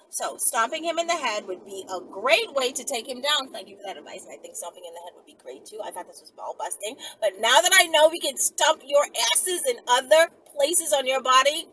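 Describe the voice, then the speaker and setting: Animated, high-pitched woman chatting sassily. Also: a little hiss or hum, low-quality recording. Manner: animated, sassy, digressive, interjecting. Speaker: host of her own video channel. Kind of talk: casual chat to viewers, reading out messages with commentary.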